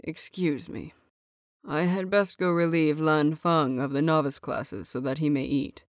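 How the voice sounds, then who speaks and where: A woman's voice: deep voice, fairly even intonation, measured pace, dry recording, studio quality. A woman voicing a stern, weary older uncle in an audio story, formal and muttering in exasperation. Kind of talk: audio story character reading.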